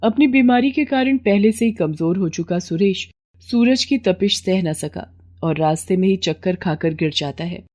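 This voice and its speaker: A woman's voice: medium pitch, lively intonation, moderate pace, darkish tone. Casting: female narrator for a scripted moral tale, mid-range voice.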